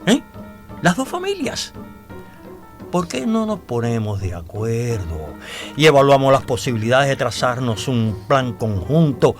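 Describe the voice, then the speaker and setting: An actor playing a self-assured, scheming blackmailer in a radio drama, speaking in a deliberate, insinuating, theatrical way. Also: male voice, medium pitch, very animated, faint music behind. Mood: calculating, probing. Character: scheming, self-assured male blackmailer proposing a treasure alliance.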